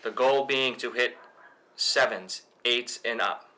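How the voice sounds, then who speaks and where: Man acting a curt range attendant, forceful edge, terse instructions, medium pitch, faint room noise. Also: slow, poor audio. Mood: matter-of-fact. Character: curt, matter-of-fact male shooting range attendant. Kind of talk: screenplay table read.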